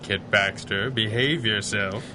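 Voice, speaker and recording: An actor as a composed, formal radio superhero. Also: male voice, fairly low pitch, background noise, close to an everyday voice. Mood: dry, teasing. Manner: formal, measured, gently dry. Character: composed, earnest masked superhero boss, a man. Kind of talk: 1930s radio drama acting.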